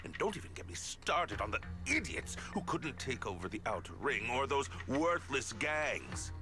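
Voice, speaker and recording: Casting: male actor as scheming politician for a story game, cynical, part lofty orator, part irritable schemer, mid pitch, music bed. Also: very animated, normal speed, natural voice. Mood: irritated, scornful. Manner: declamatory in speeches, curt and irritable otherwise. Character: cynical male politician rehearsing a noble speech, scheming behind it. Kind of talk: video game voice acting. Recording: dramatic music under the voice.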